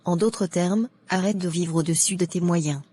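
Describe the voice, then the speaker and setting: A woman playing a sensible, frank colleague who lends money in an animated moral tale. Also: lowish voice, moderate pace, a little hiss or hum, close to an everyday voice. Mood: reproachful.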